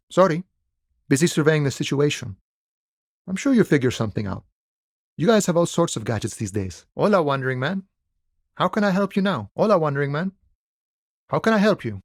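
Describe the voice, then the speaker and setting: A man's voice: fairly high pitch, dry recording, studio quality. A male actor playing a laid-back, philosophical harbor worker on strike in a video game, speaking in an easygoing, wry, musing way. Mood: musing, friendly. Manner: easygoing, wry, musing, unhurried folksy phrasing. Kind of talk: video game dialogue acting.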